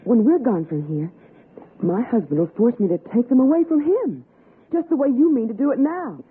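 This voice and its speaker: An actress playing a scheming, cajoling city wife in a theatrical old radio suspense style. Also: female voice, fairly high pitch, lively intonation, normal speed, husky, phone-quality sound. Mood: pleading. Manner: coaxing, theatrical. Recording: thin, noisy 1960s radio recording.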